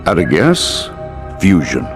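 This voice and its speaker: An actor playing a wry, concerned scientist who helps a hero in a video game. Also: male voice, low-pitched, huge pitch swings, slow pace, full character voice. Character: wry, concerned male scientist, hero's ally. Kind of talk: video game cutscene acting. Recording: loud music over the voice.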